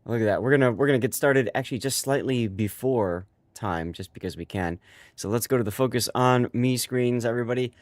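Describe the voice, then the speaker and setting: A man's voice: medium pitch, fairly quick, no room sound. A man with a stuffy, congested voice, hosting a live stream and chatting casually with his viewers, rambling with many fillers.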